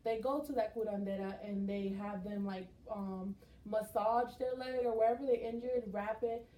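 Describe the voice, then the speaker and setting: Young woman from Texas, mellow voice. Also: medium pitch, steady tone, moderate pace. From Texas, United States. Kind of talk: conversational class presentation. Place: skills lab room. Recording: roomy echo, faint noise.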